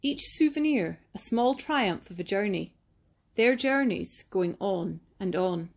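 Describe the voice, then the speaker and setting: A woman reading a picture book aloud in a gentle, measured, expressive storytelling manner, like a teacher at storytime. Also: medium pitch, gravelly.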